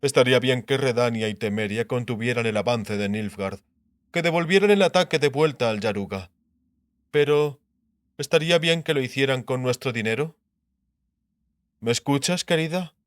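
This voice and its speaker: A man's voice: mid-range voice, no echo, lightly acted. A man voicing a shrewd, grumbling king and sardonic husband in an audiobook, musing aloud. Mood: shrewd, deliberating. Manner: musing aloud, muttering, drawn-out syllables. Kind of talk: dramatised audiobook character acting.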